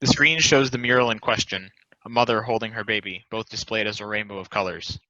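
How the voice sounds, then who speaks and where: Stage directions reader: male, lowish, sharp and very bright, scripted delivery, close dry sound. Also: steady tone, brisk, no echo, dull audio. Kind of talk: scripted narration for a play table read.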